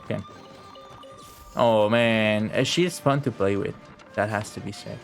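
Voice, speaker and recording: A man's voice: lowish voice, unhurried, gravelly, music in the background. A young man giving casual, slangy live commentary while streaming a video game, muttering asides to the game.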